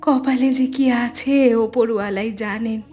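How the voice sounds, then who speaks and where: A woman's voice: a little high, fairly even intonation, measured pace, close to an everyday voice. Woman acting a weary, plaintive village mother. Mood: weary, tender. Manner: plaintive, resigned, tender. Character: weary, long-suffering poor village mother selling fish. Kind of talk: melodramatic cartoon acting. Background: loud music.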